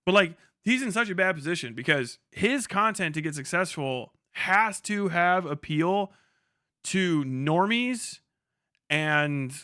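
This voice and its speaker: A man's voice: sits high, expressive, slow pace, sharp tone, clean tone, no room sound, studio quality. A man hosting a livestream with casual, slangy, profanity-laden commentary. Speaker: livestream host.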